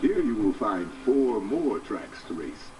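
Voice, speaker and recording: An actor playing a video game announcer, reading scripted guidance to racers. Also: male voice, lowish voice, sing-song, a little slow, recorded from a distance, over music, low-quality recording. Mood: formal, encouraging. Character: game announcer welcoming racers to new tracks.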